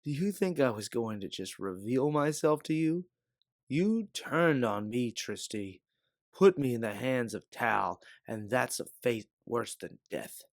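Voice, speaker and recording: Scarred older mercenary, read by a man, measured and weary, low-key, dry sound. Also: dry recording. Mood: bitter reproach. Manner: measured, explaining calmly. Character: aged, scarred mercenary man, calm, weary, self-justifying.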